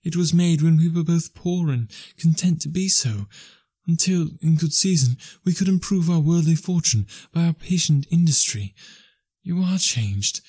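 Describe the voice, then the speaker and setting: A man reading aloud and voicing a gentle, sorrowful young woman who speaks softly and earnestly as she releases the man she was to marry. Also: fairly high pitch, moderate pace, husky, no room sound, pitched above the performer's own voice. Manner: soft, earnest, steady.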